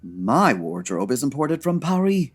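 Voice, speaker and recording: Give me a husky, theatrical, fussy dandy. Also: male voice, mid-range voice, very animated, unhurried. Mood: haughty, put out. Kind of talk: comedy audio drama.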